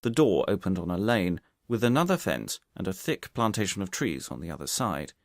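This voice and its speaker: Deep-voiced man narrating, close and dry. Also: sing-song, a little fast, dry recording. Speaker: audiobook narrator. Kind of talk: scripted narration of a detective story.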